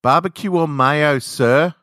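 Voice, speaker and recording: Fast-food counter girl played by a man: young, raised pitch, overpolite, insistent, dismissive, for storybook narration. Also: a bit bright, no room sound, clean studio sound. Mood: impatient, insistent. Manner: overpolite, insistent customer-service tone.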